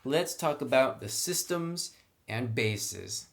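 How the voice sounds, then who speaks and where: A man with a mid-pitched voice talks through a review in a casual, conversational way. He sounds enthusiastic about his material, explaining it informally as he goes. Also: expressive, slow, creaky. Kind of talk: casual tabletop rulebook review.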